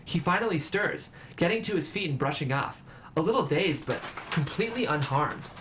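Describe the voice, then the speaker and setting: A man's voice: a little high, lively intonation, moderate pace. A man reading scripted stage directions as the narrator of a table read. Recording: clear background noise.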